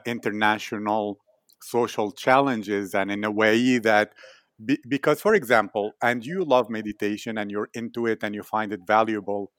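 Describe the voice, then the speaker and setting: A man's voice: medium pitch, no room sound, studio quality. A man interviewing in a reflective conversational style, asking long, winding, analytical questions.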